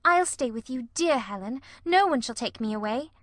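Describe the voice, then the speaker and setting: A woman playing an anxious, devoted young girl in a dramatic audiobook, speaking softly with an earnest, pleading manner. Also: on the high side, lively intonation, moderate pace, dry recording, lightly acted. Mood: tender, devoted. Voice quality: soft, girlish.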